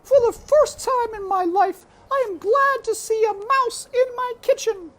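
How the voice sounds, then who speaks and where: A woman's voice: very high-pitched, measured pace, pitched above the performer's own voice. A woman voicing a nervous, jolly old female cook from a storybook, folksy and full of exclamations. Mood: jolly, relieved. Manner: exclamatory, folksy, cackling ho-hees. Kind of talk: storybook character voice.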